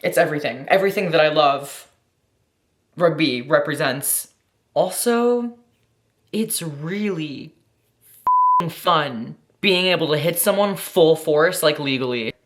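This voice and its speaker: A woman's voice: deep voice, animated, measured pace, pauses often. Effusive, sweary American woman, roomy sound. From United States. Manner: effusive, slangy, heavy swearing, frequent "like". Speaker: vlogger answering questions. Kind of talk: casual Q&A chat. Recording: roomy echo.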